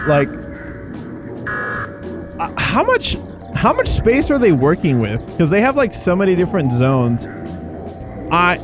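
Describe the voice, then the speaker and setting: Excitable higher-voiced man doing game commentary. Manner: excitable, exclaiming, slangy. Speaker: video game YouTuber and host. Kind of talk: casual gameplay commentary. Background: background music.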